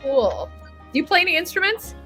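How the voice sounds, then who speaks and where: A woman's voice: very high voice, sing-song, a little fast, pushed and bright, faint music behind. A woman hosting a webinar in casual chat over a video call, bubbly and laughing often. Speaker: webinar host.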